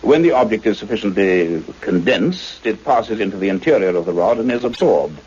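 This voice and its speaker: Casting: methodical doctor of science, radio play lead and narrator. Lowish, formal, exact delivery; dull-sounding tape. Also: male voice, animated, a little mellow, breathy edge. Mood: measured explaining. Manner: formal, measured, precise. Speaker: actor playing the lead and narrator. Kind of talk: radio drama acting and scripted narration. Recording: muffled radio recording with faint noise.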